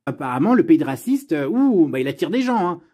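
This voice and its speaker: High-voiced, exasperated man ranting. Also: very animated, fairly quick, warm tone. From France. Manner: exasperated, sarcastic, blunt, swearing. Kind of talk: unscripted personal rant.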